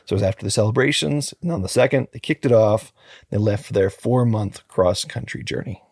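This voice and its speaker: A man co-hosting a true crime podcast, telling the story in a relaxed, joking way with lots of fillers. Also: lowish voice, fairly quick, creaky, slightly rough, dry recording, clean studio sound. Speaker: podcast co-host.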